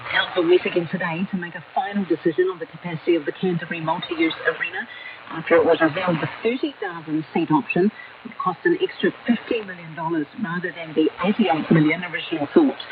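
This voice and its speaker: A woman's voice: lowish voice, fast talker, crowd noise. A woman with a New Zealand accent reading a scripted radio news bulletin over shortwave. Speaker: radio newsreader. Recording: noisy narrow-band shortwave radio broadcast, distant.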